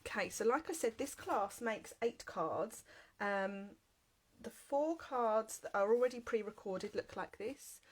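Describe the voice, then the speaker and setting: A woman in her thirties, nursing a heavy cold, teaches a live crafting class from her home. She sounds chatty and upbeat, with frequent little "um"s. She speaks at an ordinary mid pitch. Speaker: craft demonstrator. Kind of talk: live card-making class. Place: home craft room with shelves and windows. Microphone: webcam at desk, then overhead camera.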